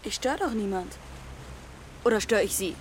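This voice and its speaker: A woman's voice: medium pitch, lively intonation, moderate pace, close to an everyday voice. An actress playing a cheeky, dreamy teenage runaway girl in a radio play, pert and unabashed. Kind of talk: radio play acting and first-person narration. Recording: loud engine and water noise behind.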